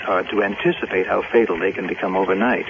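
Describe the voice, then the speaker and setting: Courteous stranger, muffled old radio. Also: male voice, low voice, fairly even intonation, quick pace. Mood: solicitous, hesitant. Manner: polite, formal, hesitant. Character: courteous, solicitous male stranger, quietly knowing. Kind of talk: 1970s radio drama acting. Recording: dull, noisy vintage radio recording.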